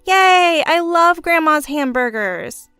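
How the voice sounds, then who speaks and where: Woman doing an easygoing little boy, high-pitched. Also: animated, slow, pushed and bright, dry recording, soft background music, heavily acted. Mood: delighted. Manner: simple, childlike. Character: little boy, game-loving, easygoing child. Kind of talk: audio story dialogue.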